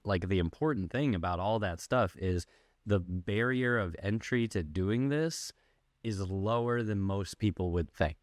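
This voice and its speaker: A man with a low, deep voice hosts a podcast. He speaks conversationally, like a casual interviewer, in a very dry recording with no room sound. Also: dry recording. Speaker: podcast host.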